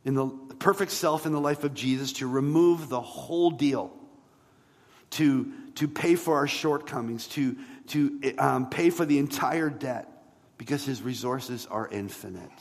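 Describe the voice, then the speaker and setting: A man preaching a sermon as a pastor, in a conversational way with rhetorical questions and emphatic pauses. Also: mid-range voice. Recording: long hall reverb.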